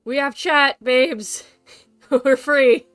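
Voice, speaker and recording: A woman's voice: high voice, very slow, fairly bright tone, no room sound, faint music behind. A woman livestreamer chatting casually to viewers in a playful, chatty way. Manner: playful, chatty, talks to pets. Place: bedroom at home.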